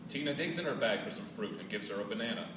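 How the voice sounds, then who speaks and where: A man narrates stage directions from a script in a slightly low voice. He is heard from a distance in a heavily echoing room. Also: animated, fast. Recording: distant, echoey room recording with noise.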